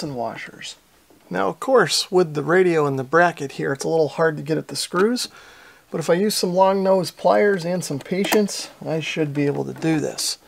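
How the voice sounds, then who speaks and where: A man's voice: on the high side, vocal fry, slight background noise. A middle-aged American man hosting a hobby how-to, talking in an easygoing, self-deprecating, casual way. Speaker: host of a radio hobby channel.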